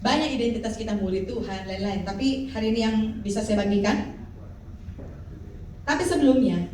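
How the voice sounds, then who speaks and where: Female pastor, 50s, high voice, animated call-and-response preaching, distant mic. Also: a little hiss or hum. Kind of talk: sermon. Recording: echoey hall, mic from far off.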